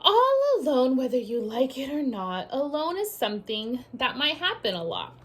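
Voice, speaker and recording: A woman teacher doing an expressive children's read-aloud, speaking directly to her young listeners in an animated way. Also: high-pitched, normal speed, a little hiss or hum. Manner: animated, addresses children directly with questions and asides. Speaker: teacher reading a story aloud to young pupils.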